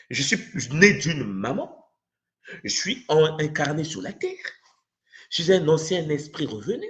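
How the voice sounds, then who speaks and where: A man with a Congolese accent teaches spiritual ideas to an online audience. His pitch moves widely and expressively as he repeats key phrases and puts questions to his listeners in an impassioned way. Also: fairly bright tone. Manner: rhetorical questions, acts out imagined dialogue, repeats for emphasis.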